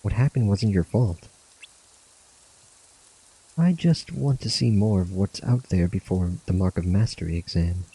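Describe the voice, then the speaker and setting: A man's voice: sits low, measured pace, long pauses, warm tone, husky, heavily acted, rough recording. A man reading out game cutscene dialogue, voicing earnest young student warriors in a fantasy story. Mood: wistful, friendly. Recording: music under the voice.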